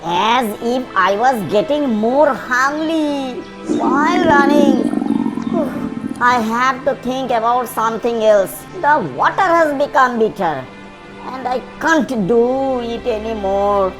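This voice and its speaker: A woman's voice: fairly high pitch, slow pace. A woman voicing a sly, cheeky, hungry fox in a children's cartoon, theatrical and scheming. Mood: weary, hungry. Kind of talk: children's cartoon character dialogue. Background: music behind the voice.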